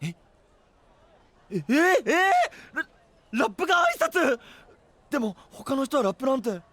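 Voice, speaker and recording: Earnest young hero knight: boyish, wide-eyed, exclamatory, very lively pitch swings, anime drama. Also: male voice, normal speed, dry recording, exaggerated voice. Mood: flustered, amazed. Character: naive, earnest, excitable young male traveling knight.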